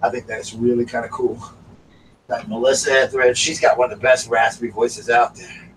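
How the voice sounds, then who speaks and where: A raspy-voiced man with a Southern US accent chatting casually as the host of a live stream, now and then breaking off to call his dogs. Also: mid-range voice, little pitch movement, normal speed. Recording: far from the mic with faint background noise.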